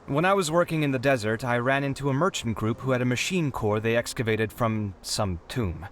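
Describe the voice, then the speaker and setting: A man's voice: mid-range voice, fairly quick, slight background noise, close to an everyday voice. A man playing a harried young inventor in a video game, dramatic and put-upon but kind-hearted, with an exasperated manner. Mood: explanatory. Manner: exasperated, theatrical, sighing. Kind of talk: animated video game character acting.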